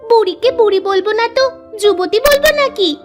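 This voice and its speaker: A woman's voice: very high voice, animated, moderate pace, faint music behind. A woman voicing a cheeky, eager little village schoolgirl in a cartoon story, chirpy and teasing.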